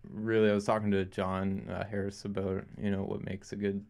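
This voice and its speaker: A man's voice: lowish voice, monotone, brisk, gravelly, darkish tone. A young man from Canada co-hosting a student radio show, talking loosely with lots of fillers. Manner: loose and chatty, many "you know" fillers. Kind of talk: casual radio chat.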